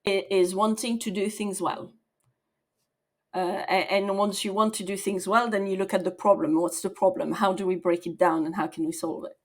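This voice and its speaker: A woman in her forties from the south of France, speaking as a podcast guest, thoughtful and quick to laugh. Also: mid-range voice, little pitch movement. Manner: thoughtful, laughs easily, restarts sentences. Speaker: guest, people and operations leader. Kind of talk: podcast interview.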